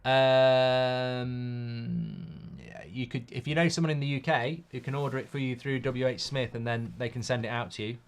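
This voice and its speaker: A British man with a slightly croaky, bunged-up voice hosts a live football watchalong, mixing casual commentary with blunt, jokey replies to the chat. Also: medium pitch, steady tone, normal speed.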